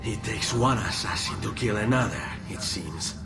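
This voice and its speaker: An actor playing a determined, wry young assassin hero with an Italian accent in a video game. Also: male voice, fairly low pitch, moderate pace, creaky. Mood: grave. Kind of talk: video game cutscene acting. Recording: loud music behind.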